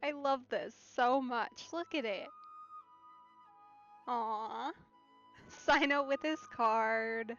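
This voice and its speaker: A woman streamer with a fairly high voice, reacting casually to a game at a very unhurried pace. She gushes in soft exclamations, in slightly dull sound with game music behind.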